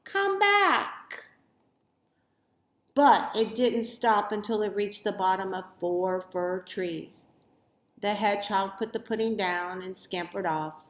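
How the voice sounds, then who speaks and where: Female, children's read-aloud, medium pitch, unhurried slow pace, expressive deliberate phrasing, roomy echo. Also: slight background noise. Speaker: storybook reader. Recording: roomy, echoey sound.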